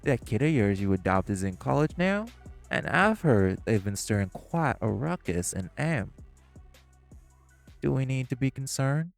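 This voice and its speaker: A man's voice: medium pitch, very animated, measured pace, gravelly, faint music behind. A man playing a smug, scheming gangster. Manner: smug, mocking, sly. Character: smug, scheming male gangster, sly and teasing. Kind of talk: audio roleplay acting.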